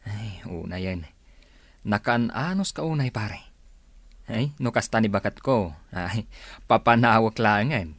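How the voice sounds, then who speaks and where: A man's voice: fairly low pitch, lively intonation, a little slow, long pauses, fairly bright tone, no echo, exaggerated voice. A man acting a frank, generous co-worker with a Filipino accent, talking chummily in a radio drama. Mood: frank, urging. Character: friendly, blunt male co-worker, generous buddy.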